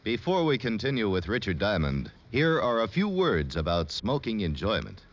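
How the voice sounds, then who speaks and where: A man's voice: fairly low pitch, huge pitch swings, normal speed, loud music behind the voice. A man delivering a persuasive commercial read in the style of vintage radio announcing. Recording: muffled vintage radio recording.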